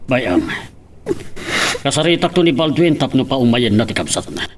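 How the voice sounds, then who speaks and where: A middle-aged man playing a gentle, earnest father in an Ilocano radio drama. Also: medium pitch, sing-song, normal speed.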